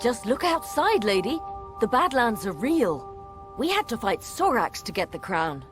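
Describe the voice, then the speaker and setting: A woman's voice: a little high, very animated, a little slow. An actress playing a skeptical, matter-of-fact female adventurer in a fantasy video game. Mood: blunt, sure. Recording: game music behind the voice.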